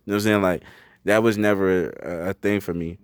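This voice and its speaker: A young rapper from Connecticut talking quickly and earnestly as a podcast guest, often adding "you know what I'm saying". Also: male voice, low voice, steady tone, clean tone, no room sound, studio quality. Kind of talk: casual interview talk.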